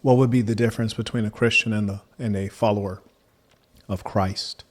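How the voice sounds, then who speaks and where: Low-voiced man moderating a debate. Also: fairly even intonation. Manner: measured, formal, probing questions. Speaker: debate host.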